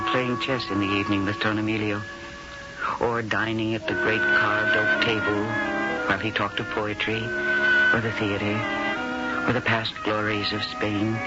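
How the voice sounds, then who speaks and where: An actress playing a worldly, tender older woman star in 1970s radio drama, with a husky low voice and theatrical, deliberate phrasing. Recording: dull old radio broadcast with loud music.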